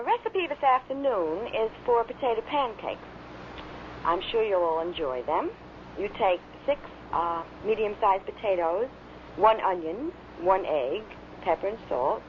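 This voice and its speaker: A woman presenting scripted homemaking and society items in a mid-century radio manner. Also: medium pitch. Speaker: local radio women's program presenter. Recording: muffled vintage radio recording, faint noise.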